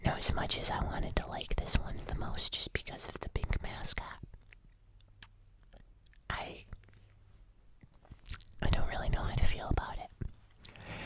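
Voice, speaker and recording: An ASMR host giving a casual food review in a breathy whisper, often pausing to correct themselves.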